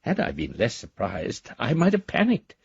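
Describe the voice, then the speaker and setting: A man's voice: medium pitch, huge pitch swings, moderate pace, vocal fry, breathy edge, dry recording, muffled recording, exaggerated voice. An actor playing an eloquent, whimsical elderly father in a radio drama, wordy and wryly formal as he reads his letters aloud. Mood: bemused wonder. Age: older.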